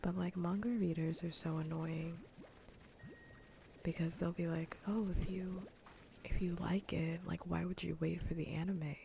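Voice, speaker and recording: A woman speaks in a soft, breathy whisper right up on an ASMR mic, with a faint layer of noise behind her. Her voice is fairly low and gravelly at the edges. She rambles casually, repeating phrases as she chats through a gaming livestream. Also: fairly even intonation, measured pace. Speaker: streamer. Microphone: close ASMR microphone.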